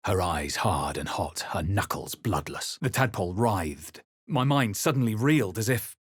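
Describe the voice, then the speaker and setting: A brooding adventurer hero for a fantasy role-playing game. The voice sits very deep with a distinctly husky grain. Delivery is grave, measured and dramatic, captured dry and close. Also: male voice, expressive, dry recording. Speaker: video game voice actor. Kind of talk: scripted fantasy game narration, character lines and spell incantations.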